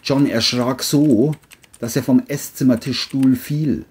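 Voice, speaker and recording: A woman's voice: very deep voice, steady tone, a little slow, darkish tone, breathy edge. A woman reading scripted narration for a crime audio story.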